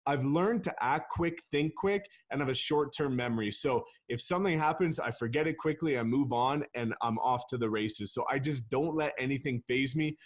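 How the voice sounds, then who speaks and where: A man's voice: medium pitch, moderate pace, hardly any pauses. A man, a real estate agent guest, talking bluntly and emphatically in a casual interview and swearing freely.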